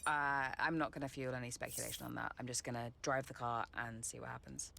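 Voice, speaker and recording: A woman's voice: extremely low pitch, level tone, quick pace, vocal fry, fairly bright tone, full character voice. Young woman race driver in a game cutscene, frank, stubborn, some background noise. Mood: measured, guarded. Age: young adult. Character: young female racing driver, defiant, frank, wounded daughter. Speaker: racing driver character. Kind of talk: video game cutscene acting.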